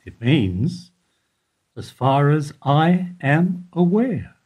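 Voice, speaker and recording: An actor playing a weary bank security guard who gives dry, evasive answers in a radio play. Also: male voice, on the high side, very animated, very slow, a little mellow, slightly rough, full character voice. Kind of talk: amateur radio play acting.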